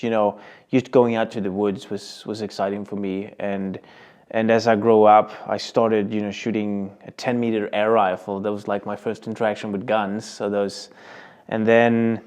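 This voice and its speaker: A man's voice: on the low side, darkish tone, smooth. A man from Sweden with a Swedish accent, co-hosting a podcast in an easygoing, casual way with short agreeing replies. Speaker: podcast co-host.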